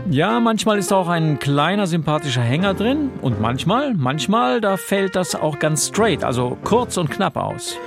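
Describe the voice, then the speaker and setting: Gravelly male radio presenter. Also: high-pitched, sing-song, measured pace, few pauses, music in the background. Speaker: radio host.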